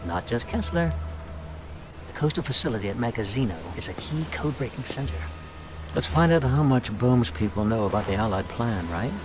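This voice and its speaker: A man's voice: mid-range voice, animated, fairly quick, music in the background, lightly acted. An actor playing a chummy, streetwise man who is a wartime intelligence ally in a game cutscene. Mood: conspiratorial.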